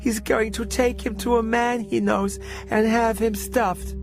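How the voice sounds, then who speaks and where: High-voiced, prim old aunt. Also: male voice, flat delivery, measured pace, music in the background. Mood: tearful, distraught. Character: doting, genteel old aunt, prim and sinister. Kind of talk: old-fashioned radio drama reading.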